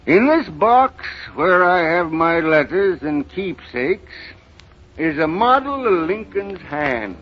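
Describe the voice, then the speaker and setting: Old country grandfather: rural American, folksy and sorrowful, unhurried halting delivery, vintage radio play, dull recording. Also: fairly high pitch, full character voice. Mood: folksy, earnest. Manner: halting, rustic, dramatic storytelling. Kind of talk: 1930s radio drama acting. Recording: muffled, noisy 1930s radio recording.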